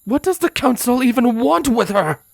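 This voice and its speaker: A man's voice: extremely high pitch, very animated, moderate pace, creaky, dry recording, clean studio sound, voice pushed up, exaggerated voice. A man voicing a furious, defiant young horned mare with growling, indignant demands in a dramatic story reading. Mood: angry, demanding.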